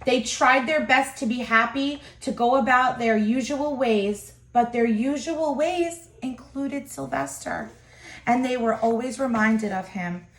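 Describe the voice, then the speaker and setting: A woman reading a children's picture book aloud in an expressive way, pausing to comment to her listeners. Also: sits high. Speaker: storybook reader. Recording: echoey room.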